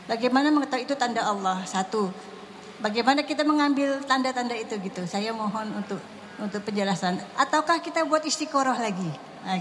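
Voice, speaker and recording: A woman's voice: mid-range voice, slight background noise. A woman from Indonesia asking a question from the audience, hesitant and apologetic with fillers. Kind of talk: question from the floor.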